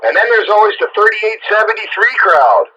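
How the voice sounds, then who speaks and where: Bright-voiced man on a hissy CB radio. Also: high voice, sing-song, fairly quick, dull audio. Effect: two-way radio. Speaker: amateur and CB radio operator, uploader of the show. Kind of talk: casual on-air radio chat. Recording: noisy band-limited CB radio transmission.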